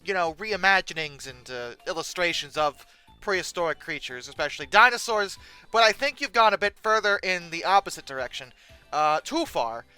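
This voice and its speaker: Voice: mid-range voice, expressive, normal speed, pushed and bright, clean tone, faint music behind, low-quality recording. A commentator giving humorous off-the-cuff commentary, stammering and hesitating, with bursts of theatrical exasperation. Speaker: YouTube host. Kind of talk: humorous unscripted commentary on pictures.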